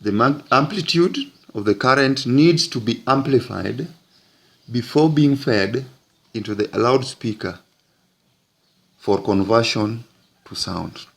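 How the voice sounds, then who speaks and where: A man teaching physics, narrating an explanatory lesson in deliberate, halting phrases with frequent breaks. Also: medium pitch, animated, gravelly.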